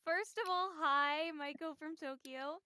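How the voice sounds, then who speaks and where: A woman voice actor with a naturally high voice, thoughtfully explaining her craft. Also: measured pace, sharp tone, no echo, clean studio sound. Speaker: voice actor on a panel.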